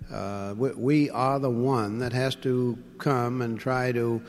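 A man's voice: medium pitch, steady tone, slow pace, a little mellow. A man chairing a public hearing, speaking in a formal, courteous manner. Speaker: hearing chair and port authority spokesman. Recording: echoey hall.

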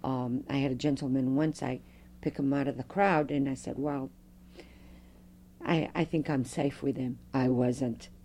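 A middle-aged woman, a Fado singer, telling stories with a strong, loud voice in an interview. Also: rumbling low, measured pace. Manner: animated storyteller, strong loud voice. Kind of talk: oral history interview.

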